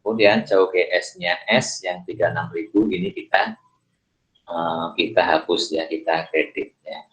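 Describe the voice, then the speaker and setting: A man explaining worked examples as an accounting lecturer, halting and repeating words, often adding little check-in tags. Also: sits low, animated, a bit bright. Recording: dull lo-fi voice recording.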